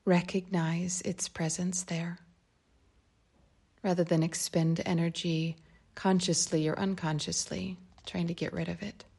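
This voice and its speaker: Slow, breathy, creaky woman guiding meditation. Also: fairly low pitch. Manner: calm, unhurried, gentle phrasing with long pauses. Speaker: meditation guide. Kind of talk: guided meditation, slow and soft instruction.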